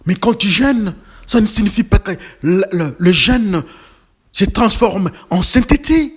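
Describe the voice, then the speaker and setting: A man's voice: sits high, expressive, measured pace, husky. A man preaching a sermon with emphatic, building repetitions and rhetorical questions, slightly congested from a cold.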